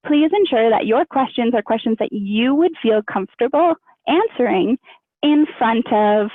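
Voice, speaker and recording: A woman hosting and introducing an online panel discussion, phrasing things carefully and haltingly. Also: fairly high pitch, no echo. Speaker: panel host and moderator. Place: online video webinar. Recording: thin phone-like online call audio.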